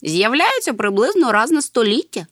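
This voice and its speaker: A woman voicing a calm, sensible young male wanderer creature in a storybook, firm and reassuring. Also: on the high side, moderate pace, no room sound, clean studio sound, pitched above the performer's own voice. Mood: matter-of-fact.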